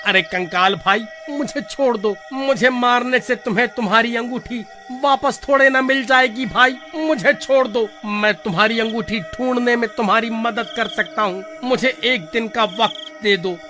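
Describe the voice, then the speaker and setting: A man voicing an excitable, whiny village man in a horror cartoon. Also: very high-pitched, normal speed, hardly any pauses. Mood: desperate pleading. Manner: whiny, exclaiming, agitated. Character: panicky, irritable village man, pleading and excitable. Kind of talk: animated story acting. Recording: faint music behind the voice.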